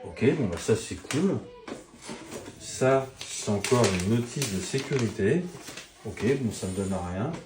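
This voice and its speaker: A man's voice: fairly low pitch, lively intonation, measured pace, background noise. A middle-aged man chatting casually as he unboxes gear, thinking aloud and swearing when annoyed. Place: room at home. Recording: roomy echo, faint music behind.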